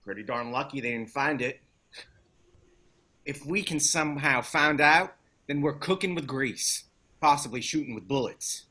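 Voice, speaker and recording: A man's voice: mid-range voice, moderate pace, bright, forceful tone. A man playing a wry, gung-ho activist in a dramatic table read, speaking in clipped, punchy phrases. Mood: wry, confident. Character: McKenna, wry, gung-ho male militant activist. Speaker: actor.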